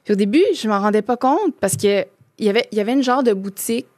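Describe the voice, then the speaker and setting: A woman's voice: mid-range voice, fast talker, a little mellow, no echo. A woman in her thirties from Quebec with a Québécois French accent, chatting as a podcast guest and talking loosely with many fillers and restarts. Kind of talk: casual chat.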